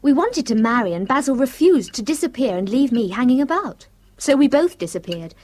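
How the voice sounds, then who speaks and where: A woman's voice: sits high, very animated, a little fast. An actress playing an affectionate, gushing young woman secretary in a radio drama. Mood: fond. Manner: warm, gushing, fond. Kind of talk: 1970s radio drama acting.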